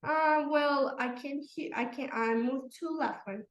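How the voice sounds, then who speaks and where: A woman's voice: sits high, unhurried. Hesitant young woman with a Mexican accent. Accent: Mexican Spanish. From Mexico City. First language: Spanish. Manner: hesitant, lots of fillers, simple phrasing. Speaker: guest, runner. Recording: echoey room.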